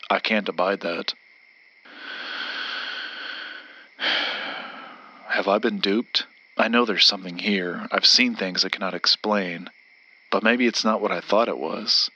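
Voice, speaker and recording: A man's voice: sits low, sharp tone, dull audio. A man playing a brooding, determined, disgraced story collector turned monster hunter in an audio drama, speaking tersely and intensely. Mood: wounded, doubting.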